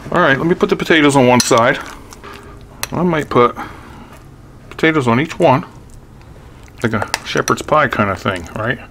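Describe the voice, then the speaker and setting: An older man talks through a meal he is making in his home kitchen, casual and upbeat, chuckling as he goes. His voice is of middling pitch, picked up on a clip-on mic. There is a clear hum of noise behind him. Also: normal speed, pauses often, fairly bright tone. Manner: chatty, upbeat, chuckling often. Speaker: cooking vlogger. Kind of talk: casual cooking demo. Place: home kitchen, then pickup truck. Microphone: lapel mic.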